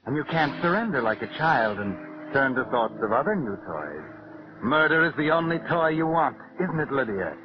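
An actor playing a sinister, insinuating narrator who taunts a would-be killer in dramatic 1940s radio mystery style. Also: male voice, medium pitch, big pitch swings, lightly acted. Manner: dramatic, taunting, deliberate second-person storytelling. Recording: dull, noisy 1940s radio recording.

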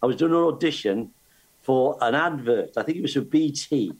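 Brief: husky older male co-host from Wales, lively storyteller, swooping pitch. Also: normal speed. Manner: animated storyteller. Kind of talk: casual chat.

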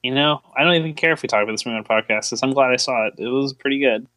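A man's voice: mid-range voice, very fast, pushed and bright, dry recording. A man co-hosting a podcast in casual chat, joking, teasing and rambling as he goes. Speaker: podcast co-host.

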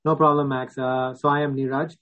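Male podcast co-host, flat, steady delivery, muffled lo-fi line. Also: medium pitch, fairly quick. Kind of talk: casual self-introduction. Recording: dull, lo-fi remote audio.

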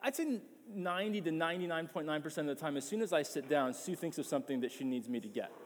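A man's voice: on the high side, lively intonation, fast talker, big-room sound. A man preaching a sermon as pastor, passionate and emphatic, often repeating words as he builds his point.

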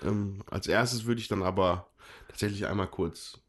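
A man's voice: low voice, moderate pace. A man with a stuffy nose from a cold, co-hosting a casual chat about board games and rambling with frequent self-corrections. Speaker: podcast co-host.

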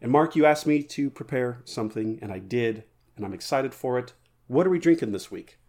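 A man's voice: mid-range voice, lively intonation, brisk, a little mellow. A man co-hosting a podcast, telling jokey, rambling stories in casual banter. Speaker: podcast co-host.

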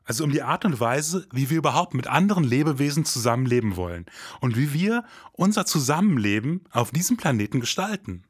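An idealistic noir detective in an audio drama, easygoing and colloquial. The voice has a husky grain and sits at a middle pitch. The sound is dry and close. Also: male voice, expressive, brisk, dry recording. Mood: casual, questioning. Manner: casual, colloquial, questioning. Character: laid-back male detective, idealistic, hard-boiled noir narrator.

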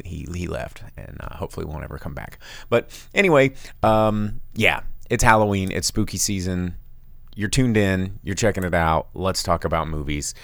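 A man hosting a casual chat show, rambling and animated, with sudden loud bursts in a big dad voice. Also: fairly low pitch, no echo. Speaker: podcast host and bar owner.

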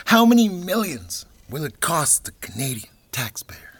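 Sarcastic young farmer voiced by a man; lively pitch, defiant, biting. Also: a little slow, breathy edge, exaggerated voice. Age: young adult.

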